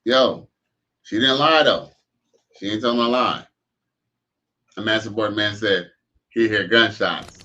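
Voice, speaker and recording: A man's voice: on the low side, a little slow, pauses often, sharp tone. A man hosting a live stream, reacting to clips with crude jokes and loose slang. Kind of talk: casual reaction commentary. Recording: dull lo-fi stream audio.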